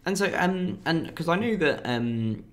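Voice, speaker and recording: A man's voice: fairly high pitch, animated, measured pace. A man hosting a stream, playing a game and thinking aloud about his moves in easygoing, casual chat. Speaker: stream host.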